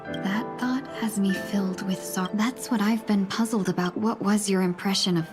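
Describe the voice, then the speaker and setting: A woman voicing a gracious, courteous fox merchant in a video game, with a polite, formal, deliberate manner. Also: mid-range voice, steady tone, music in the background, lightly acted. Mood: wistful, earnest.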